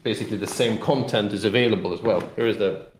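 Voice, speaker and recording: A low-pitched man talks in an echoey room, explaining a tabletop game as an interview guest. His delivery is casual and rambling, full of loose qualifiers as he works through each point. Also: steady tone, quick pace, a little hiss or hum. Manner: rambling, explanatory, hedging with "kind of". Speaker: game publisher representative being interviewed. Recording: roomy, echoey sound.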